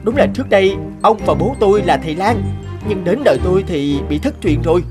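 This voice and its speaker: Panicky poor farmer, high and lively, stammering, music behind. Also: male voice, fairly quick. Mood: flustered. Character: poor, honest young farmer, flustered. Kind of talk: animated folk tale voice acting.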